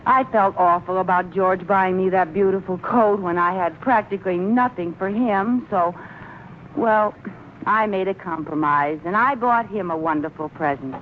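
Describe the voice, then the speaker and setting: An actress playing an excitable, dotty housewife in a theatrical, gushing old radio sitcom style. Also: female voice, medium pitch, moderate pace, natural voice. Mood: tender, proud. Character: excitable, dotty housewife besotted with her mink coat. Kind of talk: 1940s-50s radio situation comedy acting. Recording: muffled old radio recording, faint noise.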